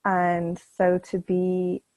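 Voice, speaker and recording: A woman whose voice is croaky from a cold shares frankly and reflectively. Her pitch sits low, and the sound is dry and close. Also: completely flat delivery, extremely slow, darkish tone, no room sound. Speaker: first-time facilitator. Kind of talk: personal sharing on a call.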